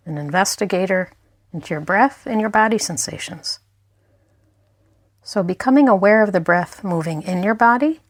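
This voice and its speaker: A woman's voice: mid-range voice, dry recording. Woman guiding a meditation, dry close sound. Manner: gentle, unhurried guiding with pauses. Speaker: mindfulness instructor. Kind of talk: guided meditation narration.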